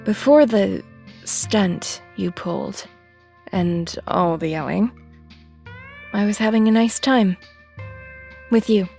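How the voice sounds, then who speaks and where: A woman acting a composed lady softening toward her suitor in an audio drama. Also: medium pitch, slow pace, gravelly, pauses often, faint music behind. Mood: tender.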